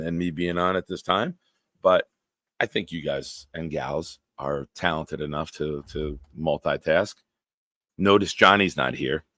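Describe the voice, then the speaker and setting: Deep smooth-voiced guy in his 40s running a live stream, blunt, swears a lot, dry close mic. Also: fairly bright tone, no room sound. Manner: banters with chat, blunt and profane. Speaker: live-stream show host. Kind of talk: casual live-stream chat, reading viewer comments.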